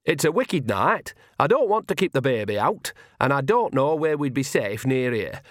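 A man's voice: mid-range voice, sing-song, normal speed, dry recording, studio quality, voice pushed up, natural voice. A man voicing a brash, cheerful old witch woman who gives orders bluntly in a storybook reading. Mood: bossy. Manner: breezy, blunt and commanding.

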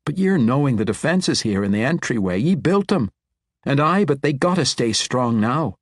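A man voicing a young male dwarf king, calm and reassuring, with a dwarven brogue. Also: medium pitch, moderate pace, no room sound, clean studio sound, voice pushed up.

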